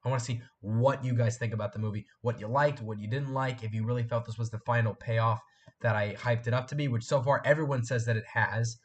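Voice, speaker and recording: An eighteen-year-old man hosting a casual live stream from a room at home, hoarse and congested from a cold, rambling and repeating himself as he chats with viewers. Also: mid-range voice, steady tone, a little fast. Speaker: live stream host and fan film maker.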